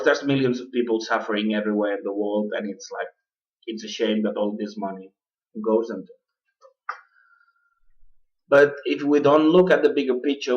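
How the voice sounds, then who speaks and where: Guy doing his radio talk show, lots of uh and restarts, kinda far from the mic, dull lo-fi sound. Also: mid-range voice. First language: not English. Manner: halting, many uh fillers and restarts. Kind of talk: political commentary monologue.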